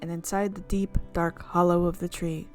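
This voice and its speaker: A woman streaming a visual novel, reading the narration aloud with expressive, playful reactions. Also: lowish voice, normal speed, soft background music. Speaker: game streamer.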